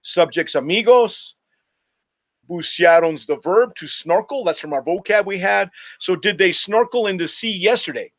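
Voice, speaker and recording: A man's voice: sits high, normal speed, highs cut off. A man teaching a lesson over a screen share, explaining step by step in an instructive manner and spelling things out. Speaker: Spanish teacher.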